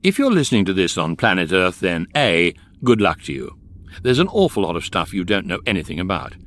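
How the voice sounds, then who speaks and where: Low-voiced, lively guidebook narrator. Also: male voice, fairly quick, a little hiss or hum. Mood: wry, explanatory. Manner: dry, wry, mock-lofty. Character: droll, all-knowing male electronic guidebook narrator. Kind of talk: scripted comic radio narration.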